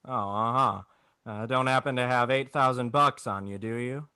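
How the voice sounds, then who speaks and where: A man's voice: mid-range voice, moderate pace, pushed and bright, clean tone, no echo, studio quality. A man playing a despairing, wry, bewildered family man with a stammering, folksy manner, in 1940s radio drama style. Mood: wry disbelief. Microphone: video call.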